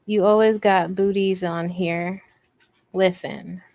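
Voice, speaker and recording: A woman with a soft voice, hosting a casual craft livestream in a rambling, playful, self-deprecating way as she answers viewer comments. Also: mid-range voice, steady tone, slow pace, no room sound. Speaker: host of a crochet livestream.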